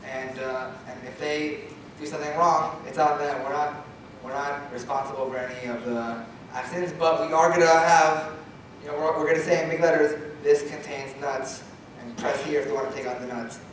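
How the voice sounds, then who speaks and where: A man's voice: fairly high pitch, little pitch movement, normal speed. Young man, blunt student presenter fielding questions; distant mic, roomy echo. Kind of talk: answering questions after a pitch. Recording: echoey room, far from mic, noisy.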